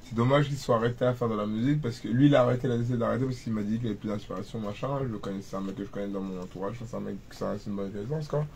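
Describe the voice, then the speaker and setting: A man's voice: lowish voice, rapid-fire, creaky, hardly any pauses, darkish tone, a little hiss or hum. A man in his twenties from Cameroon, a rapper talking about his start in music in a casual interview, rambling with many restarts and fillers. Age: 20s. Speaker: rapper guest.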